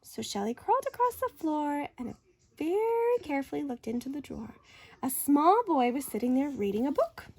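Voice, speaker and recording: A high-voiced woman reads a children's story aloud. Her delivery is animated and theatrical, with wide swings in pitch and deliberate dramatic phrasing. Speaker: story reader. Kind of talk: expressive children's picture-book read-aloud.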